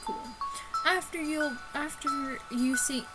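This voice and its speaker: High-voiced woman doing casual gaming commentary. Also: takes it slowly. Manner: chatty, stumbling when reading aloud. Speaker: gaming video host. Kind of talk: casual let's-play commentary. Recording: music behind the voice.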